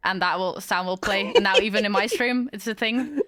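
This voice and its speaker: A woman's voice: medium pitch, a little fast, a loud crowd. A woman from the Netherlands with a Dutch-influenced English accent, chatting as a podcast guest with many fillers and easy laughter. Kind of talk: casual chat.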